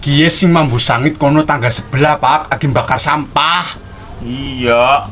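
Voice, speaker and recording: A man's voice: mid-range voice, measured pace, fairly bright tone, slight background noise, natural voice. A man with a Tegal Javanese accent playing a young helper in a comedy skit, giving short, defensive denials.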